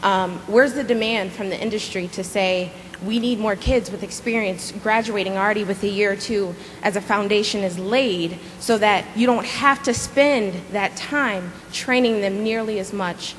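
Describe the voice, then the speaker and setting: A young woman in the audience asks a long, earnest question, with a few fillers and restarts. Her voice is at a mid pitch. It carries through a reverberant hall with faint noise behind it. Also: normal speed, hardly any pauses. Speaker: audience member asking a question.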